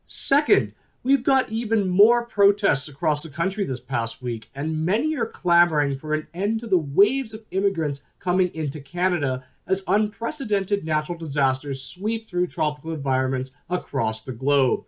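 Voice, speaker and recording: A man's voice: on the high side, lively intonation, moderate pace, few pauses, natural voice. A man playing an upbeat, chatty news talk show host in a radio play, with an emphatic delivery. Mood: exasperated, emphatic.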